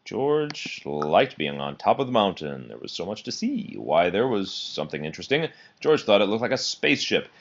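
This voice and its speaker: Man, children's storybook read-aloud, lowish voice, lively expressive intonation, muffled lo-fi recording. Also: moderate pace, vocal fry, few pauses. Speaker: storybook reader.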